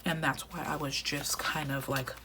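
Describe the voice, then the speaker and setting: A woman in her thirties chatting casually while decorating a planner, reflective and talkative, often dropping into soft half-whispers, her voice going a little raspy from a long day of recording. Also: low voice, flat delivery, moderate pace, very noisy. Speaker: planner vlogger. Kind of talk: casual plan-with-me chat.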